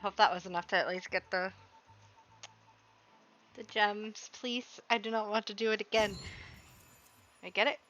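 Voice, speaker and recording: Young woman, game streamer, very bright voice, playful casual commentary, faint music behind. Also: mid-range voice, normal speed, long pauses, muffled recording. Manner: expressive, self-talking, playful. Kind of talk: casual live gameplay commentary.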